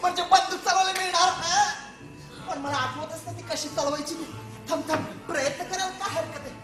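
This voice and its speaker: A woman playing a lonely male soldier in a comic stage play, excitable and rambling, stammering and repeating words. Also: very high voice, fairly quick. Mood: flustered, eager. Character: jittery, lonely, talkative male soldier at a forgotten post. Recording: echoey stage recording with music.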